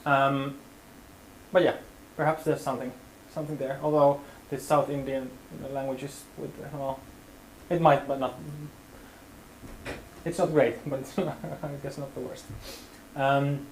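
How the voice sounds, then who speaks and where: A man's voice: mid-range voice, little pitch movement, measured pace, pauses often. Man, workshop instructor, casual and hedging, lots of fillers, echoey room, faint background hum. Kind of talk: hands-on workshop tutorial.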